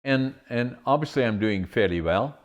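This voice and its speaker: A man's voice: mid-range voice, expressive, moderate pace. A man from the Netherlands with a Dutch accent, a podcast host talking casually in a rambling way and restarting his phrases. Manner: rambling, repeats words when restarting. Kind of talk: casual interview conversation.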